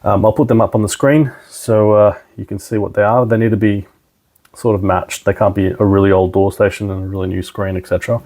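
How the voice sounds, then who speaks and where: Low, creaky-voiced man giving a tutorial. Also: fairly even intonation, fairly quick, darkish tone. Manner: informal, step-by-step instructing. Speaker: product trainer, presenter. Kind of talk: casual hands-on tutorial narration.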